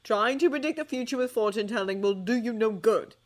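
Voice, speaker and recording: Woman doing a loud, worried grown-up guy, emphatic, higher than her own voice. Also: fairly quick, close to an everyday voice. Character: puzzled, earnest adult man on the phone.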